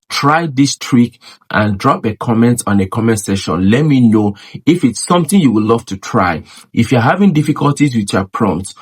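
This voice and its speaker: A man's voice: mid-range voice, a little mellow, husky. A man who makes videos, coaching viewers on growing a channel in an emphatic, repetitive way. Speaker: YouTube creator teaching monetization. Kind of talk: direct-to-camera advice talk.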